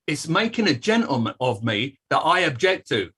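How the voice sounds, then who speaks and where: An actor playing an aggrieved old dustman with a raspy voice, declaiming his complaints in a staged reading. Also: fairly high pitch, very animated, normal speed, sharp tone, exaggerated voice. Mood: indignant. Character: blustering, aggrieved old working-class English dustman.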